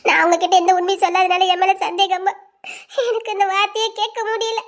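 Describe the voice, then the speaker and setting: Teenage village girl, cartoon melodrama: tiny, extremely high voice, southern Tamil Nadu accent, distraught, plaintive, emotional. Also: fairly even intonation, a little fast. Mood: despairing, tearful. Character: distraught seventeen-year-old South Indian village girl, dramatic.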